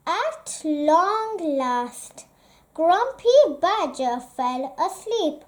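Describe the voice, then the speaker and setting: Very high, slow, dramatic child-like storybook reader. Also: very animated. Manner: dramatic, deliberate phrasing. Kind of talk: expressive children's picture book read-aloud.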